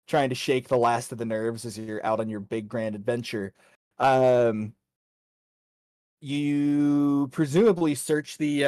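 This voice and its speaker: A man running a tabletop game as game master, improvising narration and pausing often mid-sentence. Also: mid-range voice, darkish tone, no echo.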